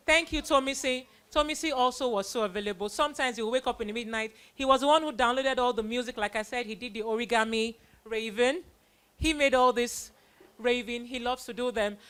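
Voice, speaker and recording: Middle aged lady hosting a kids church play, high bright forceful voice, animated, thanking performers informally. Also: smooth. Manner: animated, addressing children and audience. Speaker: host presenting child performers of a church play. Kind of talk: informal stage vote of thanks.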